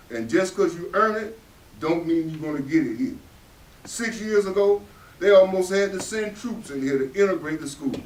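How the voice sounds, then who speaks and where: A man performing as a working-class Southern father, angry and proud, in a stage play. His fairly high male voice is blunt and scolding, heard at a distance in a very echoey room. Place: indoor readers theatre, picked up from a distance.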